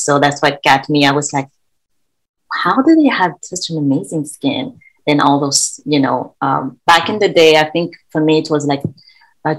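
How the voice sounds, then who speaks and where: A woman's voice: sits low, poor audio. A woman from Senegal, a guest in a casual interview, telling stories in a chatty, eager way. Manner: chatty, enthusiastic storytelling. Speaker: guest, event co-founder. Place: lives in Korea.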